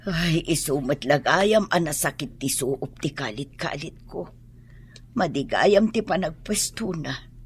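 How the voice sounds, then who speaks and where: A woman's voice: low-pitched, lively intonation, measured pace, husky, full character voice. A woman playing an aching, complaining mother with a Filipino Ilocano accent in a comic radio drama, plaintive and given to exclaiming. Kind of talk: radio drama comedy acting.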